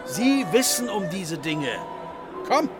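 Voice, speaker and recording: A man's voice: high voice, sing-song, slow pace, exaggerated voice. A man acting a knowing, urging guide to a frightened hermit in a German radio drama, with crowd and music behind. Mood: urging, impatient. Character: coaxing, worldly guide Hilarion steering a frightened hermit onward.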